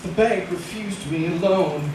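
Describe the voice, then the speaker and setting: An actor playing a weary, stubborn middle-aged husband in a 1940s radio drama, speaking in a terse, brooding way. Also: male voice, sits high, measured pace, a little mellow. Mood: weary, despairing. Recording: echoey, noisy old radio recording, distant.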